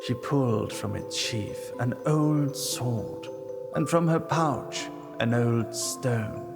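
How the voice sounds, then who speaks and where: A man's voice: medium pitch, slow, creaky, music in the background. A man giving solemn, dramatic scripted narration of a fantasy story.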